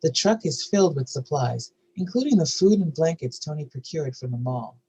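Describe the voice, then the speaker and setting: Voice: mid-range voice, expressive, normal speed, mellow, slight background noise, rough recording. A narrator reading scene headings and action lines from a screenplay at a table read. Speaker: narrator reading stage directions.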